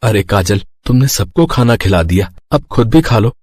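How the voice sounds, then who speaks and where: A man voicing a poor, gentle family man in an animated moral story, speaking plainly and earnestly. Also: fairly low pitch, moderate pace, slight background noise, natural voice.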